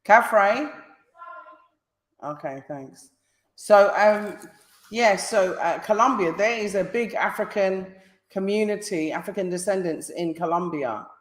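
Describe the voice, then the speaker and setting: A woman's voice: medium pitch, slow pace, echoey room. A woman with a British accent hosting a casual live-stream interview, pressing her guest with repeated questions. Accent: British English.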